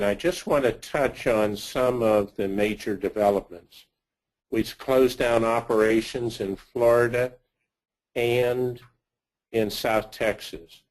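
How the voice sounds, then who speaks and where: A man giving a slide presentation of financial results as a company executive, speaking haltingly in short phrases. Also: fairly low pitch, low-quality recording.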